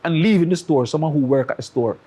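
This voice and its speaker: A man's voice: on the high side, fast talker, warm tone, breathy edge. A man with a Jamaican accent, a talk-show guest who argues his case with analogies and rhetorical questions. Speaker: studio guest. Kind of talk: opinionated talk-show commentary.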